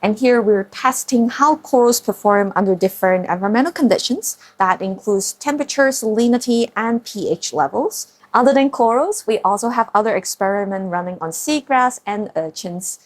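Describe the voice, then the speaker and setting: A woman in her thirties from Hong Kong, speaking English with a Hong Kong accent, who talks about her work as a scientist and founder in a measured, thoughtful documentary interview with frequent ums and uhs. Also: mid-range voice, animated. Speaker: marine scientist and company founder.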